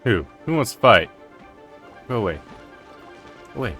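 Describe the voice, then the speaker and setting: A man's voice: mid-range voice, sing-song, unhurried, fairly bright tone, slightly rough. A man giving casual, offhand commentary while playing a video game. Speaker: gamer streamer. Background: game music.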